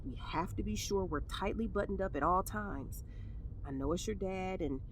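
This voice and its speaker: A woman playing a caring but firm middle-aged mother in an audio drama, speaking in a measured, admonishing, motherly way. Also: medium pitch, a little hiss or hum, lightly acted, rough recording. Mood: stern, cautioning. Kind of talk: naturalistic audio drama dialogue.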